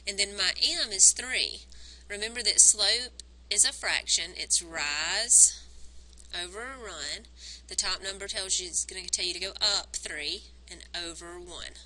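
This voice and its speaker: A woman's voice: mid-range voice, a little slow, sharp tone, poor audio. A woman math teacher working through graphing problems step by step, counting points aloud, her voice strained from nearly losing it, recorded on a phone.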